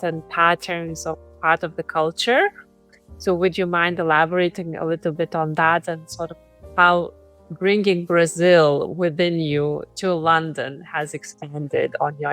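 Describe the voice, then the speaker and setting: A woman's voice: fairly low pitch, a bit bright, smooth, poor audio. A woman hosting a podcast, eagerly introducing her guest and asking long, winding questions. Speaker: podcast host. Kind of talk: interview hosting.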